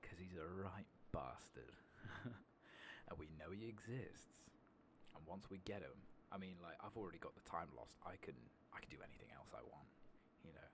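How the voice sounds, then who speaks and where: A British man giving hushed, soft-spoken ASMR game commentary, often slipping into a whisper. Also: low voice, expressive, normal speed, noisy background. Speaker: game streamer.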